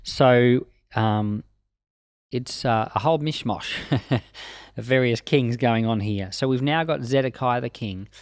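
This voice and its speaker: A man's voice: mid-range voice, unhurried, no echo. A man reading and explaining scripture as a Bible teacher, in a plain-spoken, explanatory way. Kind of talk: scripture reading and informal commentary.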